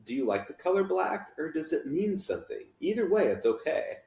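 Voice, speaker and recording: Echoey room, man acting a playful male teacher, encouraging, emphatic, theatrical, raised lively pitch, storybook read-aloud. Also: a little fast, creaky. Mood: gentle. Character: upbeat, encouraging male schoolteacher, playful and kind. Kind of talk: children's novel read aloud.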